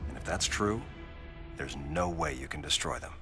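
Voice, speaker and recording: An actor playing a grave, calm military commander in a sci-fi game, speaking in a measured, formal, authoritative way. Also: male voice, rumbling low, over music. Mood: grave, analytical. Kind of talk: dramatic video game cutscene dialogue.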